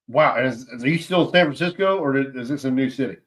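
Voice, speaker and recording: Brief: relaxed male host, mid pitch, loose conversational chat. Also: quick pace. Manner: laid-back, laughs easily, fillers. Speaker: podcast host. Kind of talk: casual interview chat.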